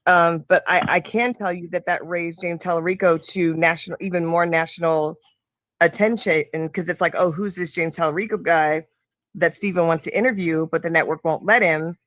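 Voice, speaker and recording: A woman's voice: fairly low pitch, fairly even intonation, moderate pace, a bit bright, dry recording. An American woman in a casual political chat, recounting things conversationally and acting out quotes. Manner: conversational, recaps with acted quotes.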